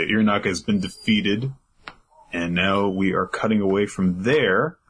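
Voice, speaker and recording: A man's voice: low-pitched, fairly bright tone, slightly rough. A man co-hosting a podcast, recapping anime episodes in loose, rambling chat full of filler words. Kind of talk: casual chat.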